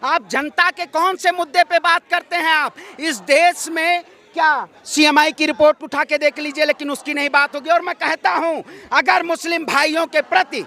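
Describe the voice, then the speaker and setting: Male activist, very high bright voice, combative street oratory. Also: steady tone, moderate pace, hardly any pauses. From Ayodhya, north India. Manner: combative, declamatory, rhetorical questions. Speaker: protester, activist. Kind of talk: impassioned street interview, political speech. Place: outdoor street protest site.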